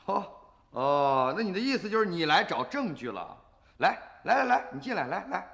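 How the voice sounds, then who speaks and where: Hot-headed farmer, highish animated voice. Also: male voice, normal speed, gravelly, long reverb tail, exaggerated voice. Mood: surly, sarcastic. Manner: combative, sarcastic, blustering. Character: hot-headed, defiant farmer, protective grown son. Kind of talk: radio drama dialogue.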